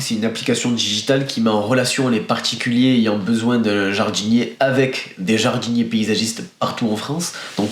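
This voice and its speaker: A young man with a cold, a start-up founder talking candidly and with energy in a casual podcast interview. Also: mid-range voice. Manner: energetic, candid, many restarts. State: has a cold. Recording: roomy echo.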